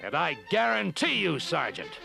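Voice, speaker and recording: An actor in an animated series playing a stubborn, haughty cavalry captain with a pompous, deliberate, emphatic manner. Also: male voice, fairly high pitch, vocal fry, heavily acted. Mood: commanding. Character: stubborn, haughty male cavalry captain, strict about rules. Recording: music behind the voice.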